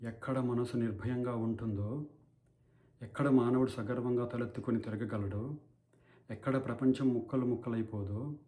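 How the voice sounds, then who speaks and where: A man's voice: mid-range voice, steady tone, normal speed, pauses often, warm tone, close to an everyday voice. A man acting an anguished, righteous crusader in an impassioned, declamatory courtroom monologue against corruption. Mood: solemn, reverent. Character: righteous, anguished male crusader against bribery addressing a judge. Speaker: actor performing a film courtroom monologue. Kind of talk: dramatic theatrical courtroom monologue.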